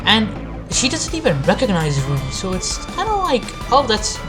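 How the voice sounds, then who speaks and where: A woman's voice: mid-range voice, wildly swooping pitch, normal speed. A woman giving an informal, sweary video rant as a reviewer, in a blunt and sarcastic manner. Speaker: anime review video maker. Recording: music behind the voice. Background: background music.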